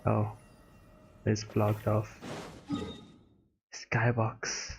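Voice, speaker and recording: A man giving casual, low-key reaction commentary as he streams a game, muttering in short remarks. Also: monotone, very slow, creaky, slight background noise. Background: game music.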